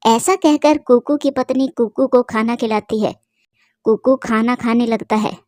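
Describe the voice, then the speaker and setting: A woman's voice: a little high, measured pace, darkish tone, no echo, studio quality. A woman narrating a scripted cartoon story.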